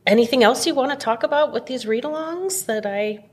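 A woman's voice: sits high, lively intonation, brisk, breathy edge. A woman podcast host, around her thirties or forties, chatting agreeably and informally with a guest. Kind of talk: casual interview chat.

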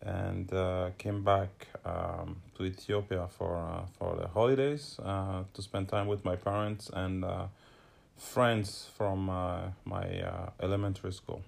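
A man from Togo with a low, gravelly voice. He speaks haltingly, filling pauses with "uh" and "um". The talk is a casual personal chat. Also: steady tone. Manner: hesitant, many fillers. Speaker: interviewee, husband and father.

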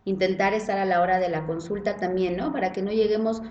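A woman gynecologist talks her listeners through clinic routines in a friendly, explanatory way. Her voice sits at a middle pitch, and the recording has a roomy echo around it. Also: steady tone, quick pace, muffled recording, background noise. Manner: friendly explanatory, tag questions. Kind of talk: casual podcast conversation. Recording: roomy sound.

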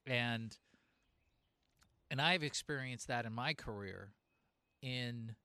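A man's voice: mid-range voice, slow, sharp tone, clear, resonant tone, dry recording. A man hosting an interview who rambles and restarts his sentences often. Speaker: interview host. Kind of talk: casual interview chat.

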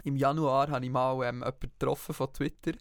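A man's voice: medium pitch, level tone, quick pace, gravelly, clean tone, dry recording, studio quality. A young man from Switzerland with a Swiss German accent, chatting casually and jokingly as a podcast co-host. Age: young adult. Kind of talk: casual chat.

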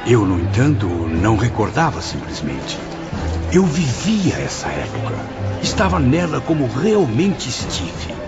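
A man acting in a radio drama as a tormented male spirit who confesses a cruel past life in a theatrical, deliberate way. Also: medium pitch, huge pitch swings. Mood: haunted, intense. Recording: muffled audio under loud music.

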